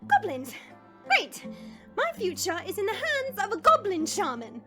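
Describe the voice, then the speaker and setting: Woman playing a high-voiced, dramatic young princess. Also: huge pitch swings, measured pace, heavily acted. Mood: shocked, sarcastic. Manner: commanding, dramatic, easily flustered. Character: proud, exasperated young dragon princess, bossy and dramatic. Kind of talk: fantasy audio drama acting. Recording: music under the voice.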